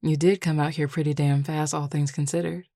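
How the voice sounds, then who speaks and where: A woman's voice: deep voice, little pitch movement, fairly quick, mellow, no room sound, studio quality. A woman acting a flirtatious, teasing Southern werewolf in an audio roleplay, with a Southern US accent and a colloquial drawl. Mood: playful, flirty.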